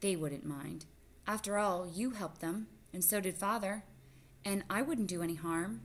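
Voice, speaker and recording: A woman's voice: mid-range voice, measured pace, slight background noise, close to an everyday voice. Woman putting on an eager, bold little boy mouse. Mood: excited, pleading. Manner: eager, insistent.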